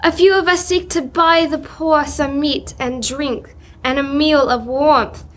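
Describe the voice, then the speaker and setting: Young woman as a high-voiced, earnest fundraiser. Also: steady tone, measured pace. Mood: hopeful appeal. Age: teenager or young adult. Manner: polite, appealing. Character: gentle, earnest fundraiser for the poor. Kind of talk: live old-time radio drama reading.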